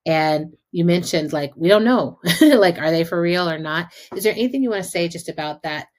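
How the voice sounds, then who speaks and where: Podcast host: American woman, low pitch, casual and reflective interview style. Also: expressive, fairly quick, darkish tone.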